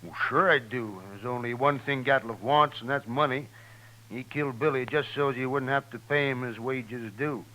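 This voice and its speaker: An American actor playing a plain-spoken, resentful buffalo skinner in a 1950s radio western, talking in a rustic, grudging way. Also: male voice, medium pitch, normal speed, bright, forceful tone, natural voice, rough recording. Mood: indignant, vengeful. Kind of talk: 1950s radio drama acting.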